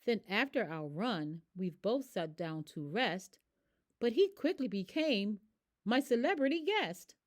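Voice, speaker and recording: A woman reading a rhyming children's story aloud, expressive and emphatic as a storyteller. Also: mid-range voice, measured pace.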